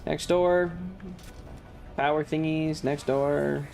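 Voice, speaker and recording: A man with a somewhat high voice streams a video game with faint music behind him. He talks casually to viewers and himself as he plays, swearing freely in an exasperated, dramatic way. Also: fairly even intonation, takes it slowly, gravelly, darkish tone.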